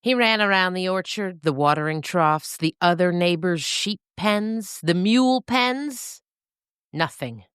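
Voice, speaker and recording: A woman reading a scripted story as narrator, with dramatic, suspenseful and deliberate phrasing. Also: fairly low pitch, expressive, fairly bright tone, clear, resonant tone, no echo, clean studio sound.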